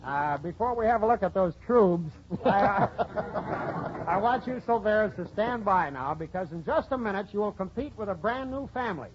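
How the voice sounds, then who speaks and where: Male comic quiz co-host, 1940s radio, high pitch, wisecracker, dull lo-fi sound. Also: animated, moderate pace. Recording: muffled old radio broadcast, faint noise.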